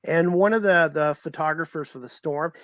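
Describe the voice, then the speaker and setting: A male host of a sports podcast with a slightly high voice and a dry, echo-free sound. He chats in a casual, informal interview style, often circling back over his words. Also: normal speed, fairly bright tone. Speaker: sports talk show host.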